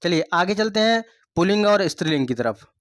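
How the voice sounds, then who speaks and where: High-voiced male Hindi tutor, repetitive. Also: expressive, a little fast, a bit bright, no echo, studio quality. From India. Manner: repeats points, asks the class rhetorical questions. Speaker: exam coaching teacher. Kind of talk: online Hindi grammar lesson.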